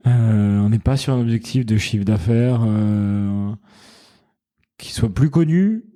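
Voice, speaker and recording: Mellow-voiced man explaining his venture. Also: on the low side, slow pace. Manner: earnest, explanatory, thinks aloud mid-sentence. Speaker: founder of a wine company, podcast guest. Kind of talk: casual interview chat.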